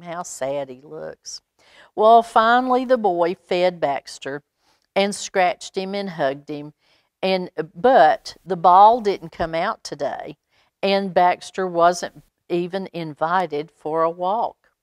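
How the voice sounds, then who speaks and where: An American woman with a slightly low voice reads a picture book to young children. She takes her time, pausing often and adding expressive asides, and the sound is close and dry. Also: no room sound. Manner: expressive, unhurried, with pauses and exclaimed asides. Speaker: storytime reader at a library.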